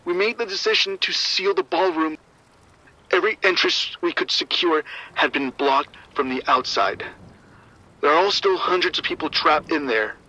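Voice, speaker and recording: Man playing shaken security officer, very sharp high voice, urgent, grim, muffled dry radio transmission, horror audio drama. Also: normal speed. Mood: overwhelmed. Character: shaken male arena security officer reporting a violent outbreak. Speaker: security supervisor.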